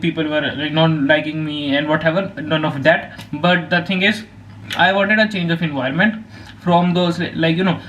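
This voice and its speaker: High-voiced rambling Indian teen boy. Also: a bit bright, rough recording. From Punjab, India. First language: Hindi. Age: teens. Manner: rambling with many fillers and restarts. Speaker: vlogger. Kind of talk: casual talk to camera.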